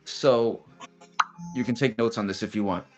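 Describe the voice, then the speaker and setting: A man's voice: on the low side, normal speed, darkish tone, faint music behind. A man, casually explaining study steps as a guest in a chat, blunt and fond of restarts.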